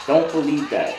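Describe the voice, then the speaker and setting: A man telling a story casually in slangy, rambling speech, improvising as he goes and drifting into tangents. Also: on the high side. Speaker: video host. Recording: roomy echo, music behind voice. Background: music beat.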